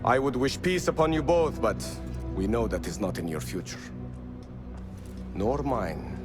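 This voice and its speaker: An actor playing a solemn, defiant rebel leader in a game cutscene. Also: male voice, medium pitch, animated, measured pace, over music, natural voice. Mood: solemn, resolved.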